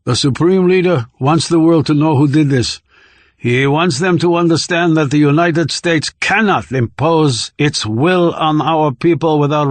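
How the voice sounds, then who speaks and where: A man's voice: on the high side, no room sound, close to an everyday voice. A man voicing a cold, callous and zealous male agent with a formal, stern manner in an audiobook. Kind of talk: audiobook character dialogue.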